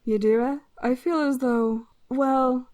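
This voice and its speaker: Mellow, high-voiced druid noblewoman, played by an actress, formal and gracious, fantasy audio drama. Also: steady tone, takes it slowly, dry recording. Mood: gently chiding. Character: composed noble druid lady, caring, exasperated sister.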